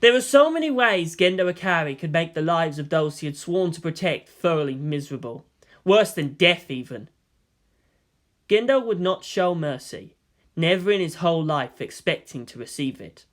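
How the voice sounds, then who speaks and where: A man's voice: fairly high pitch, animated, moderate pace, a bit bright. A man reading fan fiction as narrator, in a dramatic scripted storytelling style.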